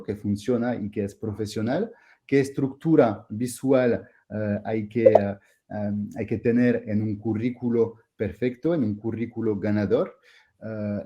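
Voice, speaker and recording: Man, French accent, low voice, mellow tone, hesitant, presenter of an online masterclass. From France. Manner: hesitant, many fillers and restarts. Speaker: host.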